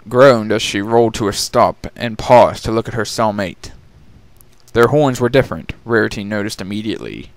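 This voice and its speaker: A narrator performs a dramatic story reading in a mid-range voice. The delivery moves expressively in pitch, and the sound is close and dry. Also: no echo.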